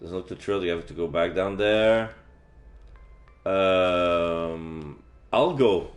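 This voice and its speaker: Low-voiced man reading a game story. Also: takes it slowly. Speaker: game streamer. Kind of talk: reading a visual novel aloud. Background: faint game music.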